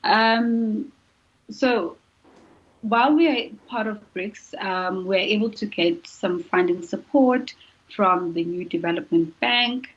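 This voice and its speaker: A woman's voice: medium pitch, takes it slowly, highs cut off. A woman from South Africa, a panel guest, giving hesitant explanatory answers with many fillers.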